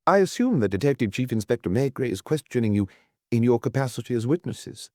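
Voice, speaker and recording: A man voicing an examining magistrate in an audiobook, formal, careful and measured. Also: medium pitch, animated, mellow, dry recording, clean studio sound, natural voice. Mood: formal, placating.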